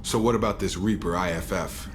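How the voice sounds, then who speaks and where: Deep, dry-toned soldier, played by a man. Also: brisk, music in the background, natural voice. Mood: wry, sceptical. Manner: dry, terse. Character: blunt, wry, sceptical male soldier crewmate. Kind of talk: scripted video game acting.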